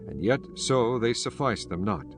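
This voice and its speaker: Low-voiced male scripture narrator over music. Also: animated. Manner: formal, measured storytelling. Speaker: audiobook narrator.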